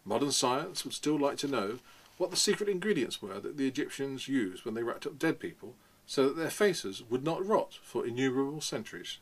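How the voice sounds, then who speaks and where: A man's voice: medium pitch, lively intonation, brisk, hardly any pauses, breathy edge, voice pushed up, rough recording. A man voicing a sarcastic old male history teacher, lecturing and mocking, raising his voice for the part. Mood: mocking reading.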